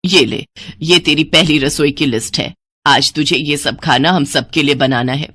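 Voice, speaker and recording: A woman's voice: deep voice, moderate pace, fairly bright tone, slight background noise, voice pushed down. A woman voicing a greedy, spiteful older mother-in-law who taunts and scolds in a family cartoon. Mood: bossy, threatening. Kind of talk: Hindi family cartoon dialogue.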